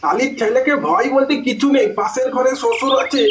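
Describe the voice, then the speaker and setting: A performer voicing a cantankerous, foul-mouthed older village father in a comic cartoon, scolding and bossy, with a pitched-up effect. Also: a little fast. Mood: indignant. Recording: echoey, noisy cartoon soundtrack.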